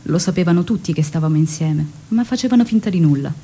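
A low-voiced revolutionary woman remembering love. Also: lively intonation, fast talker, dark tone, slightly rough. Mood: sorrowful. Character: Aleida, revolutionary woman in love, recalling with aching tenderness. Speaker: stage actress. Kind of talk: dramatic first-person stage monologue.

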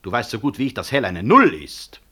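An actor playing a proud, principled senior physician in a radio drama, blunt and dryly ironic. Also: male voice, mid-range voice, very animated, a little fast, bright, forceful tone. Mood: indignant, scornful. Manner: blunt, incisive, sardonic. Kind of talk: 1960s German radio drama acting.

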